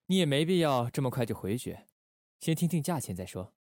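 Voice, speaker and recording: A man playing a controlled, calculating undercover agent in a radio drama, measured and guarded. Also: medium pitch, very animated, darkish tone, dry recording, clean studio sound. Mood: persuasive, cool. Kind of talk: Chinese radio drama acting.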